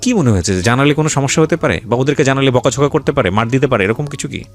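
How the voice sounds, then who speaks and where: A man's voice: mid-range voice, expressive, rapid-fire, faint music behind. A man with a Bangladeshi accent hosting an interview, asking probing questions in a directive way and mixing in English words. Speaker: show host interviewing guests.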